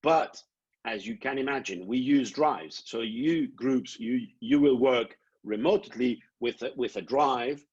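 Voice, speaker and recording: Halting Italian man on a video call. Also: medium pitch, slightly rough. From Italy. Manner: halting, repeats words, gestures while explaining. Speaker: programme director. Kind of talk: online Q&A answers. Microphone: laptop webcam video call. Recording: dull lo-fi video call audio.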